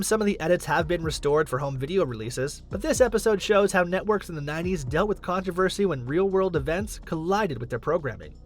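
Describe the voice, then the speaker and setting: High-pitched, lively male countdown narrator over music. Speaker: video narrator, list countdown host. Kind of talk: scripted narration.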